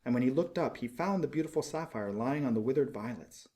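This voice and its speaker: A man reading a storybook aloud as scripted narration, in an expressive, measured storytelling manner. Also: medium pitch. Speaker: audiobook reader.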